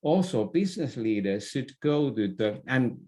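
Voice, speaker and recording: A middle-aged man from Finland with a Finnish accent, speaking as an opinionated guest in a podcast interview, halting and repeating words as he makes his points. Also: mid-range voice, lively intonation, mellow. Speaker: interview guest, author and business thinker.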